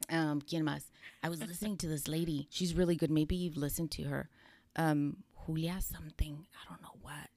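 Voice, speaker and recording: A woman's voice: deep voice, steady tone, moderate pace, no echo. A woman co-hosting a casual chat, asking questions and switching freely between English and Spanish. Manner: warm back-channeling, code-switches into Spanish. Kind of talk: casual conversational podcast chat.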